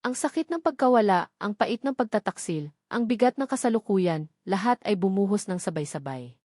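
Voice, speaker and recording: A woman narrates a dramatic love story. Her voice sits at a middle pitch for a woman. The recording is dry, with no room around it. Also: expressive, moderate pace, warm tone, dry recording. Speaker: narrator of audio love stories.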